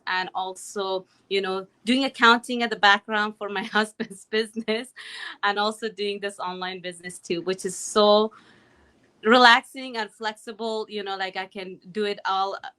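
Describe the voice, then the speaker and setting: A woman's voice: mid-range voice, steady tone, moderate pace, sharp tone. A woman in her thirties from Afghanistan, talking earnestly as an interview guest over a video call, with many "you know"s and "right"s. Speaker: guest, accountant and online business owner. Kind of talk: casual interview talk. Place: home in Calgary.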